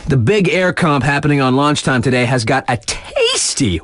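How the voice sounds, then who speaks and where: Lively, laid-back snowboard radio DJ. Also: male voice, medium pitch, normal speed, no room sound, studio quality. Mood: wry, casual. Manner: casual, slangy, chuckling asides. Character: laid-back hip young male radio DJ hyping snowboard races. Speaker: radio DJ announcer. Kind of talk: scripted sports news radio patter.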